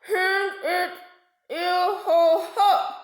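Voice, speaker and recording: A woman's voice: extremely high pitch, steady tone, drawn-out words, echoey room, voice pushed up, heavily acted. A woman voicing a furious, dim-witted big bad shark in a storybook, with garbled, toothless, blustering speech.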